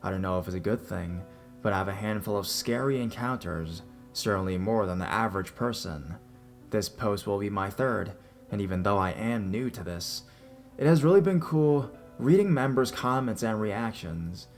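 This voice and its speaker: Male narrator, low pitch, scripted first-person horror storytelling, music playing behind the voice. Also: lively intonation, normal speed. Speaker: narrator reading listener-submitted scary stories. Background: background music.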